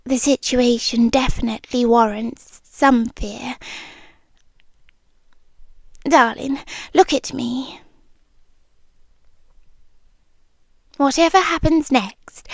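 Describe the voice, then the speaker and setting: A woman with a British accent playing a possessive, centuries-old spider creature, a doting yet menacing girlfriend, in a breathy, intimate half-whisper for an ASMR roleplay. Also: high voice, slow, no echo, studio quality, full character voice. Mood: ominous, tender. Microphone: close dry microphone.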